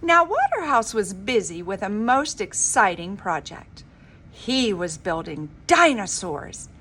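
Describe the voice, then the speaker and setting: A woman reading a children's story aloud in an expressive, dramatic, emphatic storybook manner. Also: mid-range voice, takes it slowly, slight background noise. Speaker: reader of a children's book.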